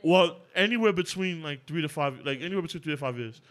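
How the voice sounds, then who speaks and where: Fast-talking man in his late twenties, slangy. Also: on the high side, fairly bright tone. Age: late 20s. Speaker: podcast panelist. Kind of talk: casual heated group chat.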